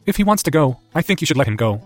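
A man voicing an earnest, halting young boy wizard. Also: on the high side, sing-song, soft background music, voice pushed up.

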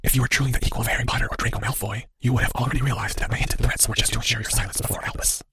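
A man voicing a mysterious, sly cloaked figure in an audiobook, in a dry, breathy whisper with courteous veiled menace. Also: medium pitch, steady tone, sharp tone, exaggerated voice. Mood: sly, mocking. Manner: slow, courteous veiled threats. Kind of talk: audiobook character voice.

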